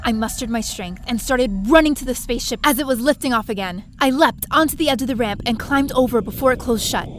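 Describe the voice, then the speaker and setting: A woman's voice: a little high, fast talker, hardly any pauses, noisy background, lightly acted. A woman playing a plucky, wry adventurer who narrates her own story in a science fiction audio drama. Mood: wry, adventurous. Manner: wry, breezy. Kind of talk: first-person scripted narration, audio drama.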